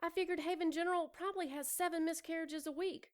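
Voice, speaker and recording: A woman's voice: extremely high pitch, fairly even intonation, fast. An actress playing a self-absorbed expectant mother in a noir audio drama, coy, defensive and sly. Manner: coy, mock-proper, defensive.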